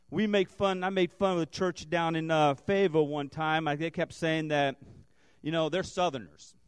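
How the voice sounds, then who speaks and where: A middle-aged man from Brazil preaches as a guest pastor, speaking into a handheld microphone on a church stage. His voice sits high for a man, and the sound is dry and close. He talks in an animated storytelling way and rises into fervent prayer. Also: no echo. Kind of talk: sermon with storytelling.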